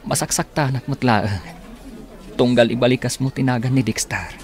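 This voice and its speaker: An actor playing a lovestruck young suitor, earnest and coaxing, with an Ilocano accent in a radio drama. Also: male voice, mid-range voice, normal speed, lightly acted. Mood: pleading, tender.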